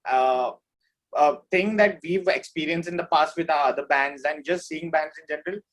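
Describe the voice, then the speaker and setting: A man's voice: a little high, normal speed, a bit bright, poor audio. A man speaking as an interview guest, explaining things in an informal, conversational way. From India. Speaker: band drummer, interview guest. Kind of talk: casual interview chat.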